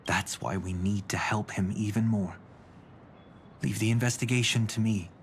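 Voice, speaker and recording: A man voicing a composed, protective older brother in a video game, speaking in a measured, formal, serious manner. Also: lowish voice, slight background noise, natural voice. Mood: grave, measured. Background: game music under the voice.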